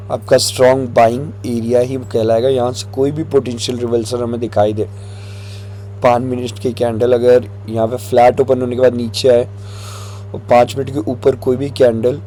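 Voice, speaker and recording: Hoarse man explaining charts. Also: mid-range voice, rough recording. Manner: relaxed, mixing English phrases, frequent "theek hai". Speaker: stock market analyst. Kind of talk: chart analysis tutorial.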